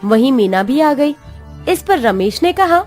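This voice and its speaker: A woman reading a cartoon moral story in a fairly high voice that rises and falls a lot. She tells it in an expressive, sing-song way, with faint music beneath. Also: unhurried. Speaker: narrator.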